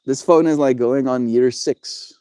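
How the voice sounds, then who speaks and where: A man's voice: medium pitch, steady tone, a little slow, mellow, clean tone, dry recording. A young man chatting casually on his livestream, laid-back and encouraging, answering viewers and calling them bro.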